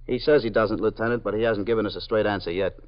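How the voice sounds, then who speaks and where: A man's voice: on the low side, fairly even intonation, close to an everyday voice. An actor playing a dutiful male police detective reporting to his lieutenant, in 1950s radio drama style. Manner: quick, respectful reporting. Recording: dull old radio broadcast.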